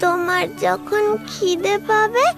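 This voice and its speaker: A woman voicing a small, starving, tearful child who speaks in faint halting words, with music playing behind. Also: extremely high pitch, steady tone, voice pushed up, full character voice. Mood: shy. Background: background music.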